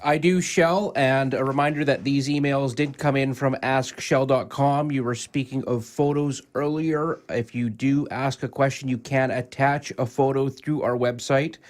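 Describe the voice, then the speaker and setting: Co-host: male, mid-pitched voice, radio announcing of listener notices. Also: steady tone, moderate pace, few pauses.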